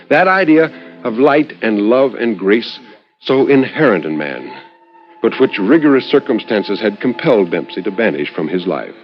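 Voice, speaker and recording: A man narrates in the style of a 1950s radio storyteller, on a dull vintage recording. His pitch moves widely and expressively through measured literary phrasing. He lingers on words and leaves dramatic pauses. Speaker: narrator reading a short story on a radio horror anthology.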